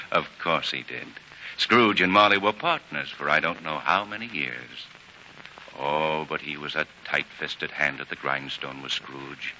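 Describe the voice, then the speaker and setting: Low, animated male radio storyteller. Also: slight background noise. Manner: dramatic, conversational, relishing words. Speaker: narrator. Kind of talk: storytelling narration, 1940s radio. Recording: dull 1940s radio recording. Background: music.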